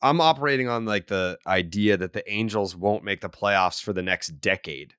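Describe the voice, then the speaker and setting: A man's voice: on the low side, moderate pace, fairly bright tone, clear, resonant tone, no echo, clean studio sound. A man hosting a baseball podcast, chatting casually. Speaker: sports podcast host.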